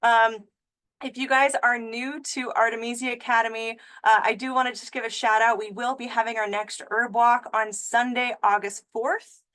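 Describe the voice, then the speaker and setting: A woman's voice: on the high side, little pitch movement, normal speed, fairly bright tone. A woman who directs an herbal school, opening an online class over a video call with an upbeat, friendly manner and frequent fillers. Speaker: school director and webinar host. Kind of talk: webinar introduction.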